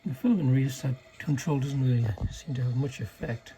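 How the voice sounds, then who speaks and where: A man giving a casual, unscripted technical demonstration in a halting, low-key way, like a restorer explaining equipment. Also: warm tone, a little hiss or hum, rough recording. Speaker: vintage radio restorer demonstrating a set.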